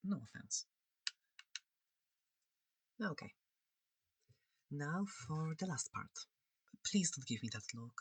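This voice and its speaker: A person voicing a curious, polite alien scientist in an ASMR roleplay, soft-spoken, hesitant and reassuring, breathy and close to the microphone. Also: medium pitch, dry recording, heavily acted. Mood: puzzled, awkward.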